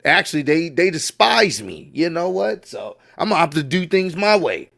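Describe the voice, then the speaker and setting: A man's voice: fairly high pitch, big pitch swings, normal speed, gravelly, fairly bright tone. A man giving casual reaction commentary on wrestling news, laid-back and admiring, often repeating himself.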